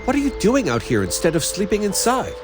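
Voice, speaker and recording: A man playing a gruff, brooding young senior who secretly cares, blunt and intense, in a fantasy game. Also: sits high, wildly swooping pitch, brisk, over music. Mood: scolding, concerned. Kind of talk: dubbed fantasy game cutscene acting.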